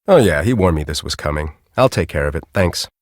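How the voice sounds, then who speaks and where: An actor playing a grumpy, bossy middle-aged storm-chasing professor in a video game, with an American accent and a brusque, sarcastic, exasperated manner. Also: male voice, a bass voice, expressive, brisk, no echo, clean studio sound. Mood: brusque, businesslike. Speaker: video game character, research team leader.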